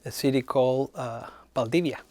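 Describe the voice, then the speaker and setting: A man's voice: mid-range voice, fairly quick, a little mellow, no echo. Man with a dry, close sound. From Chile. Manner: thoughtful, frequent hesitations. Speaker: head of human resources. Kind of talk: interview answers.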